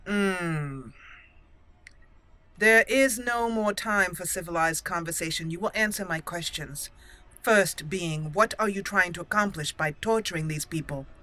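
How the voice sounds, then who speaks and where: A woman's voice: on the low side, a little slow, sharp tone, rough recording. A woman voicing a stern, defiant elf mage in a roleplayed game, speaking in a formal, cool manner. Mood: stern resolve. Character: stern, defiant young elf mage heroine raised among dwarves.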